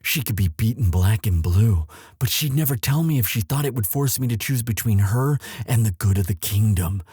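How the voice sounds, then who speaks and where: A man playing an earnest, lovestruck young prince in an audio drama, speaking formally and haltingly as he implores his father. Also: fairly low pitch, animated, dark tone, slightly rough, no echo, studio quality. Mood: solemn, devoted. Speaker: voice actor in romance audio roleplay. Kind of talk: dramatic one-sided audio roleplay.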